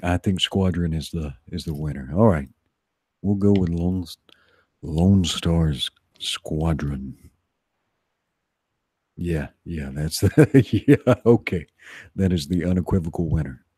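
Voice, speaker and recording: A man with a very low, mellow voice talks casually on a livestream. His phrasing is informal and repetitive, and the sound is close and dry. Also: slow, long pauses, slightly rough, no echo.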